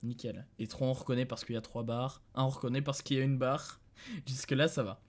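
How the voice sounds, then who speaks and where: A man's voice: mid-range voice, steady tone, brisk, over music. A young male streamer from France talks through his session in a loose, casual way, often thinking aloud and breaking into chuckles. He speaks into a desk mic in a dim room at home, addressing his viewers as he goes. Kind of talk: casual live-stream commentary.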